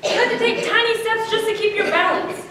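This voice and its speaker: A woman's voice: very high voice, fairly even intonation, a little fast, bright, forceful tone. A teenage girl narrating a comic stage story as a student performer, with a theatrical, projected delivery. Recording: echoey room, distant mic, faint noise.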